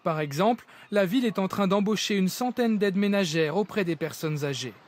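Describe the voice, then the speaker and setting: High-pitched Frenchman narrating a report. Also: expressive, a little fast. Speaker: TV news reporter.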